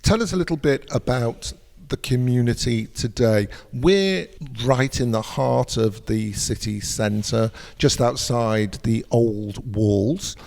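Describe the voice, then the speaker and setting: A man's voice: mid-range voice, takes it slowly, gravelly, hardly any pauses, darkish tone, in a large hall. A man hosting a travel podcast, chatty and inquisitive as he asks questions. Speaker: podcast host.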